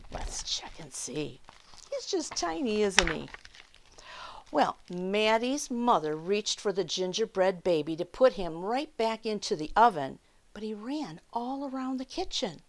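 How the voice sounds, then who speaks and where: A woman reading a story aloud to children, expressive, with chatty asides and questions to her young listeners. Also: mid-range voice, unhurried, slight background noise. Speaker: children's story time reader.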